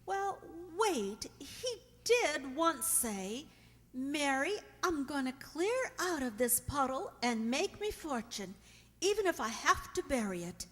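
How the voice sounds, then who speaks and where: Forlorn young bride played by a woman, radio drama. High, plaintive, resigned, recorded in a reverberant hall. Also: very animated, slow, fairly bright tone, exaggerated voice. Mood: wistful, puzzled. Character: Mary, forlorn young working-class abandoned bride.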